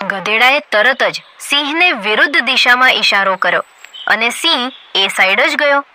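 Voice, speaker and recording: High-pitched woman narrating a children's story. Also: animated, normal speed, over music.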